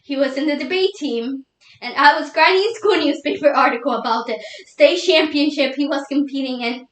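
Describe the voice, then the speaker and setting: A woman performs a young widow lost in grief and doubt. Her voice is high and emotional, with halting, pleading delivery. It is a solo dramatic monologue, heard in a reverberant room. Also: lightly acted. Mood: wistful reminiscing. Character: grieving young widow, tearful and doubting God. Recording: echoey room.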